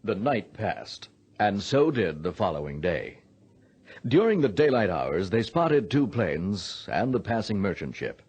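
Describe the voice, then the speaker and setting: A man narrating a radio drama from a script, in a grave, measured storytelling manner. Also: on the low side, sing-song, a little mellow, slightly rough.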